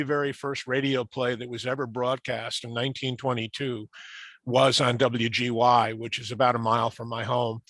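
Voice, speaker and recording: An older man with a medium-pitched voice talks on a video call, picking his words haltingly. He is wordy, formal and courteous, and the sound is close and dry. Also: a bit bright, no room sound. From upstate New York. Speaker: club member asking a question. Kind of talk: conversational remarks on a video call.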